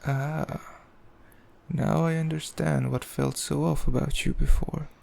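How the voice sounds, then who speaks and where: A man playing a cruel, possessive demon king in an ASMR roleplay, speaking in a haughty, mocking, measured way with a gravelly voice. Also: a little high, fairly even intonation, a little mellow. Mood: musing contempt. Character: cruel, possessive male demon king, coldly amused, condescending. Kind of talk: ASMR roleplay, slow intimate villain monologue.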